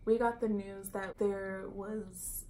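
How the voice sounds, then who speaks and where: A giggly young woman tells a story with her roommate, laughing often as she talks. Her voice sits at an ordinary mid pitch, with a faint hiss behind it. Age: young adult. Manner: laughs easily, quick excited talk. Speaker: roommate and guest. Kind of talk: casual storytime chat.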